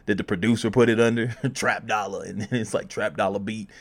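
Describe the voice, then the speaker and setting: A man's voice: on the low side, fairly even intonation, fairly quick. A man presenting music promotion advice in a casual, conversational way, informally repeating phrases for emphasis.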